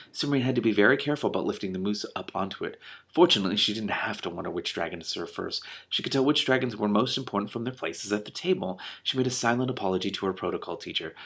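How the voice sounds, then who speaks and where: A man reading a storybook aloud as host, breaking in with casual, animated and incredulous asides. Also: on the low side, creaky, breathy edge.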